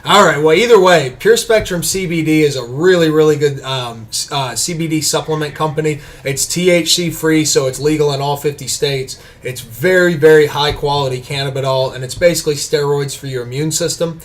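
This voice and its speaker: A man's voice: fairly high pitch, fairly quick, hardly any pauses. Upbeat man hosting a podcast. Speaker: podcast host. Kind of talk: casual chat and ad reads.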